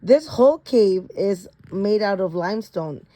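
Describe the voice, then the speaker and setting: A woman reads a children's science storybook aloud at an unhurried, slow pace. Her voice sits at a medium pitch. She reads haltingly, going back over words to repeat or correct them. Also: expressive, warm tone. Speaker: reader of a children's picture book.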